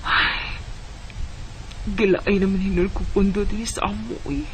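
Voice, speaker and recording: A woman's voice: medium pitch, fairly even intonation, measured pace, very noisy, close to an everyday voice. An actress playing a young woman torn between love and family in a sentimental radio drama, with a Filipino Bisaya accent. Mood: sorrowful.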